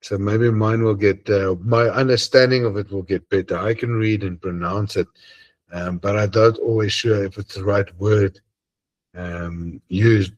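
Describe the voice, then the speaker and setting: A man with an Afrikaans accent and a deep voice, hosting an online group and chatting earnestly and hesitantly over a video call. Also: steady tone, a little slow, dull audio. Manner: hesitant, many ums and uhs, earnest. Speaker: host of an online assembly. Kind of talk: casual closing chat on a video call.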